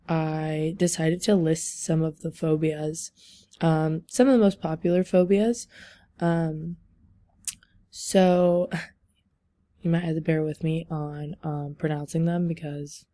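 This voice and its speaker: Hoarse young woman giving a halting class talk. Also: lowish voice, warm tone, no echo. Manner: halting, many ums and likes. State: losing her voice. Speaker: student presenter. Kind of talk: class presentation over slides.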